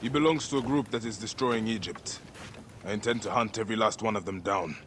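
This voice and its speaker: An actor playing a determined, vengeful Egyptian warrior in a video game cutscene. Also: male voice, fairly low pitch, fairly quick, fairly bright tone, natural voice. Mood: resolute. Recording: ambient noise behind the voice.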